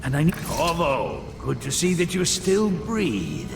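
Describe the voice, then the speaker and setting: Gravelly, pompous older scholar. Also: male voice, fairly high pitch, big pitch swings, measured pace, heavily acted. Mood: weary, cordial. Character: learned, pompous older male natural philosopher. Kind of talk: scripted video game dialogue. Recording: echoey reverberant hall with music underneath.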